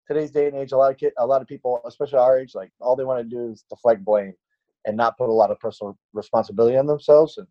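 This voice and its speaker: A man's voice: medium pitch, steady tone, racing, warm tone, highs cut off. A man in his late twenties, a fitness trainer, chatting casually on a podcast and rambling with many fillers and restarts. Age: late 20s.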